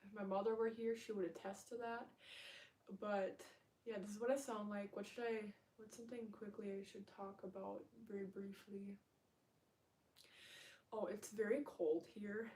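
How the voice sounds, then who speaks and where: Chatty Minnesotan woman, mellow voice. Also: medium pitch, little pitch movement, distant mic, poor audio. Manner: rambling, chatty, fast talker. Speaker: ASMR livestreamer. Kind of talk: casual livestream chat.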